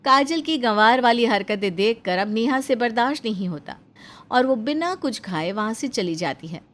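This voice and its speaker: A woman's voice: fairly high pitch, expressive, normal speed. A woman narrator reading a scripted family story.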